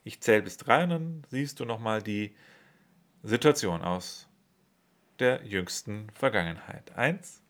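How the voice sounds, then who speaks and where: A man leading a guided body-awareness meditation in a slow, calm, soothing way. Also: on the low side.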